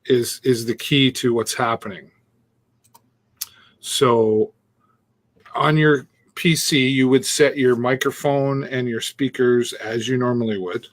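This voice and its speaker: A man of ordinary mid pitch co-hosting a casual live stream. He talks through his software setup step by step, chuckling and trailing off mid-sentence, speaking into a Bluetooth earpiece. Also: steady tone, takes it slowly. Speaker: co-host demonstrating streaming setup.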